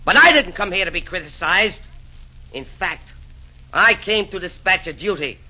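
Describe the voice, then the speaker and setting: A man's voice: high-pitched, animated, normal speed, sharp tone, exaggerated voice. A blustering rhyming devil's henchman. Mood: boastful. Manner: theatrical, blustering, declamatory verse. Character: boastful rhyming devil's henchman, would-be assassin. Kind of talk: 1940s radio acting. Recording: dull lo-fi 1940s radio recording.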